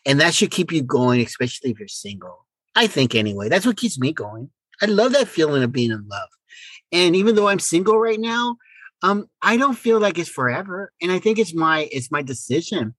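A man co-hosting a podcast in a casual, conversational style, with slightly halting phrasing. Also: on the high side, sing-song, fairly bright tone, dry recording, low-quality recording. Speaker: podcast co-host. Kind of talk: casual relationship chat.

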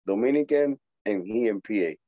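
Puerto Rican man in halting, animated English. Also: fairly low pitch, no room sound, muffled recording. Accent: Puerto Rican Spanish. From Puerto Rico. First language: Spanish. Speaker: boxer guest. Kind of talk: casual interview chat.

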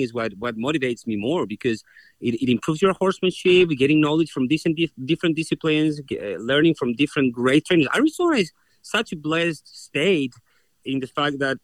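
A man's voice: mid-range voice, expressive, a little fast, no echo, poor audio. A young adult man from Chile with a Chilean Spanish accent, a horse trainer answering interview questions earnestly and often restarting his words. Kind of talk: interview guest.

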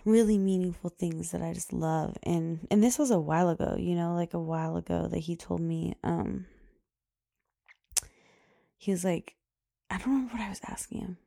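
A woman in her thirties hosts her own podcast, rambling through loose casual chat with fillers and frequent laughs. Her voice is loud, low and mellow, with a gravelly fry running through it. The sound is dry and close, with no room around it. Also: moderate pace, no room sound. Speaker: podcast host.

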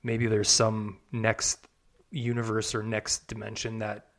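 A man co-hosting a casual podcast, musing reflectively in halting phrases. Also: fairly low pitch, monotone, a bit bright. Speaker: podcast co-host. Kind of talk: casual chat.

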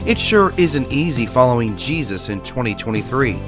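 A man's voice: medium pitch, sing-song, normal speed, music in the background. A man who pastors and hosts a faith podcast, talking in an earnest, emphatic way with a nasal voice and frequent filler sounds. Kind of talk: podcast sermon talk.

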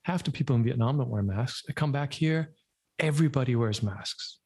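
Husky laid-back man, American-German accent. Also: mid-range voice, a little fast, darkish tone. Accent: mixed American and German. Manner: laid-back, rambling, wry. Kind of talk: casual conversation.

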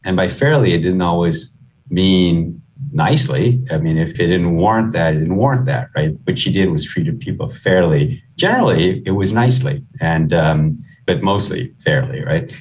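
A man's voice: low-pitched, animated, normal speed, a little mellow, recorded from a distance, low-quality recording. A middle-aged man from Guyana who moved to the US as a child, speaking reflectively and conversationally as an executive being interviewed. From Guyana, moved to the US at eleven. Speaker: chief executive, interview guest.

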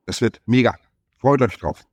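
A man explaining things in a brisk, enthusiastic way, running through lists of countries and details. His voice is mid-pitched, and the recording is dry and close with little room sound. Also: breathy edge, no room sound. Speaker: chef association representative. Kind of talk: podcast conversation.

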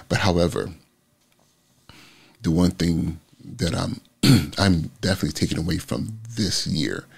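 Deep raspy guy in his 40s, principal doing an early vlog, gravelly low voice, lots of ums. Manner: rambling, reflective, many ums. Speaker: school principal. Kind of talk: casual morning vlog.

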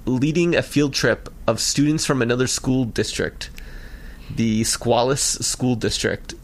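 An American man around his thirties or forties chatting casually as a podcast co-host, talking in a rambling, halting way. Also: mid-range voice. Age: 30s or 40s. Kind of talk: casual chat about a cartoon episode.

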